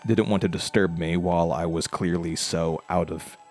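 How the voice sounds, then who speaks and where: A man playing a haunted, frightened protagonist, narrating a horror audio drama in a halting, uneasy, stammering way. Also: low voice, steady tone, darkish tone, faint music behind, natural voice.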